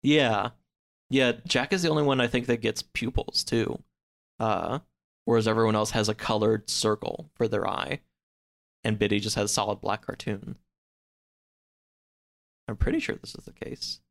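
A man in relaxed podcast conversation, explaining things informally in loose, hedging phrases peppered with "like". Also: on the low side, moderate pace, creaky, pauses often. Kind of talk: casual podcast chat, explaining.

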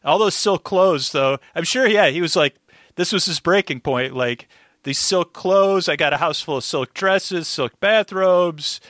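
A man's voice: on the high side, a little slow, a bit bright, dry recording, clean studio sound. A man chatting casually as a podcast guest, with a rambling, wry way of commenting.

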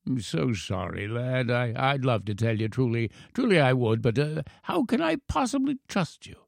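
A man voicing a frail, eloquent English professor in his eighties, formal, halting and playfully teasing, in a storybook reading. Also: medium pitch, sing-song, vocal fry, dry recording, studio quality. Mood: playfully secretive. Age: 80s. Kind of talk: storybook character voice.

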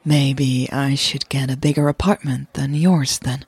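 A woman voicing a shy, happy woman in love in an audio drama, softly and a little hesitantly. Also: rumbling low, fairly even intonation, measured pace, husky, no room sound, some chatter behind, voice pushed down, full character voice. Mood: teasing.

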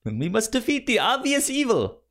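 A man co-hosting a casual film debate, stuttering on syllables and words as he talks in an animated way. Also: extremely high pitch, brisk. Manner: animated, acts out mock quotes. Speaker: podcast co-host debating films.

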